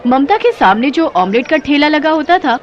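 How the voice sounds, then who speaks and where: High-voiced woman narrating a story. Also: big pitch swings, moderate pace, muffled recording, some chatter behind. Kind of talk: scripted Hindi moral-story narration.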